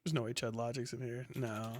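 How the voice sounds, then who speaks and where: A man hosting a casual, unscripted livestream, talking bluntly with profanity, muttering asides and reading things out loud as he goes. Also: mid-range voice, flat delivery, brisk. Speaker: livestream host. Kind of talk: reads names, stats and posts aloud.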